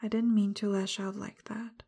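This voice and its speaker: Breathy whispering woman, low husky fry. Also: flat delivery, moderate pace. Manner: apologetic, tender, soft-spoken; frustrated and confrontational at first. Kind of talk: roleplay audio addressed to the listener.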